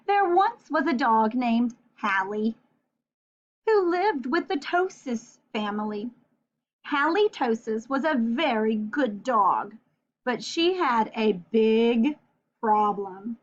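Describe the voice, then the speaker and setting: A woman teaching young children in a read-aloud vocabulary lesson, expressive and sing-song, drawing out key words and checking in with questions. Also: on the high side, highs cut off. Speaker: children's teacher and storybook reader.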